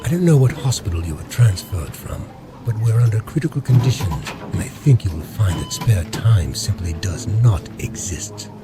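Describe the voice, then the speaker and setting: A man's voice: fairly low pitch, lively intonation, normal speed, over loud music, close to an everyday voice. A man playing a stern, brusque hospital security chief in an audio drama, curt and officious. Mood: irritated.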